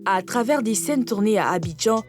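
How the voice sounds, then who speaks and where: Lady doing tv report voice-over, even and polished, music clearly underneath. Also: medium pitch, normal speed. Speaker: report narrator. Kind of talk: scripted documentary voice-over.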